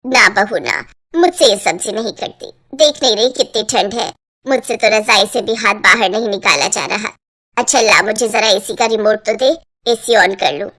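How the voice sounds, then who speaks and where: A woman's voice: medium pitch, normal speed, pushed and bright, voice pushed down. A woman voicing a lazy older mother-in-law in a cartoon, grumbling and making excuses.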